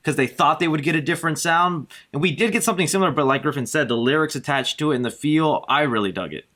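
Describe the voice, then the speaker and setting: A man's voice: on the high side, quick pace, bright, forceful tone. A man speaking casually as a guest in a podcast chat about films.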